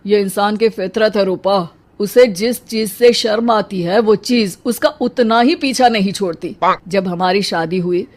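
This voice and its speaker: A woman voicing a middle-aged husband in a cartoon story, calm, supportive and reasoning. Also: mid-range voice, unhurried, natural voice. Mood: earnest, loving. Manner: reassuring, reasoning.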